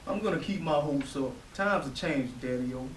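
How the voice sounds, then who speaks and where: A man performing as a respectful teenage son in a stage play, hopeful and quietly insistent. His voice is fairly high for a man and sounds far from the mic in a very echoey room, with faint hiss behind it. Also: moderate pace, natural voice. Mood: earnest, hopeful.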